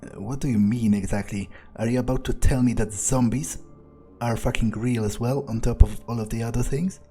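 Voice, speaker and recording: A man's voice: medium pitch, steady tone, brisk, warm tone, soft background music. A man thinking aloud in character while playing a video game, musing and casually profane. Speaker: let's-play gamer speaking in character.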